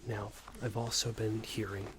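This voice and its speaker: A man playing a smug, unreasonable boss in an ASMR roleplay, scolding an employee in a soft breathy whisper with a hesitant, condescending manner. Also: flat delivery, moderate pace, very noisy, natural voice. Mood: condescending, disapproving. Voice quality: breathy soft whisper with low creaky murmur. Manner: hesitant, condescending, meandering reprimand.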